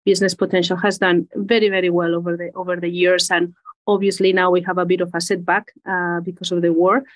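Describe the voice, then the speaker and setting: A Spanish-accented woman in her forties speaks in a fairly low voice, answering an interviewer's questions about her work. She chooses her words with care and often repeats a word while finding the phrase she wants. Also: level tone, quick pace. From Spain. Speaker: corporate executive guest.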